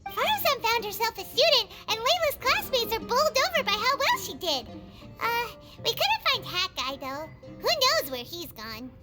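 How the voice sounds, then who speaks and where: A tiny childlike fairy companion from an anime game. The voice is squeaky and sky-high, leaping around in pitch with bubbly, greedy excitement. Loud music plays behind it. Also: female voice, moderate pace. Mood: chatty. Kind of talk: anime video game voice acting.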